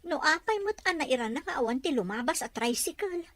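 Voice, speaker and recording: A woman with a Filipino accent playing a gentle, dutiful young wife in an audio drama. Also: sits high, lively intonation, brisk, dry recording. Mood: earnest. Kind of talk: Ilocano audio drama acting.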